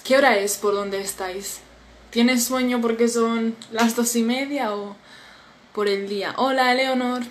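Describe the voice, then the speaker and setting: Young woman, slightly high voice, sleepy and chatty, phone mic, faint background noise. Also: fairly even intonation, a little slow. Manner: friendly, chatty, reads comments aloud and reacts. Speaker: Spanish teacher hosting a live stream. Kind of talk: casual live-stream chat reading viewer comments. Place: small indoor room at a table. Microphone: phone camera at arm's length.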